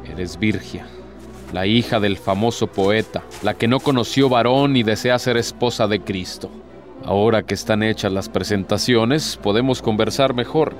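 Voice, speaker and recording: An actor playing an ancient, courtly Devil in a radio drama, a sly and despairing tempter who speaks formally and insinuatingly. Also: male voice, fairly low pitch, normal speed, close to an everyday voice. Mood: sly, seductive. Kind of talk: theatrical radio drama acting. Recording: music behind the voice.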